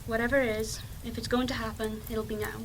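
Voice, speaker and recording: Woman acting a sceptical investigator, dry n clipped, highish voice, audio drama, bit far from mic. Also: little pitch movement, quick pace, a little hiss or hum, close to an everyday voice. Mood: resolute. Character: brisk, sceptical female investigator, evidence-minded and dry.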